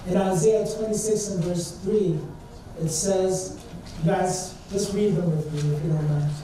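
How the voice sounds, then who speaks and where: A man's voice: high voice, fairly even intonation, unhurried, dark tone. A young man from Trinidad giving an earnest, conversational sermon as a student speaker at a church service, asking his listeners questions. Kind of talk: informal sermon. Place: church sanctuary. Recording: distant and very echoey church hall, faint noise.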